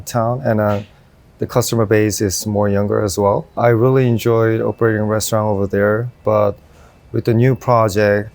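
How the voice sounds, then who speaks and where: A man in his thirties who grew up in Korea, with a Korean accent and a fairly low voice full of gravelly fry. He speaks in an interview as a chef, slow and careful, with frequent pauses to find his words. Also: a little mellow. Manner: slow, thoughtful, many pauses with "uh". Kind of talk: interview on a restaurant tour.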